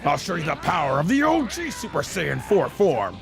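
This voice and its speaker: A man's voice: on the high side, huge pitch swings, moderate pace, vocal fry, soft background music, full character voice. A man voicing a cocky, battle-hungry martial-arts hero in a fan dub over a fighting game, with brash taunts. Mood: cocky, fired up.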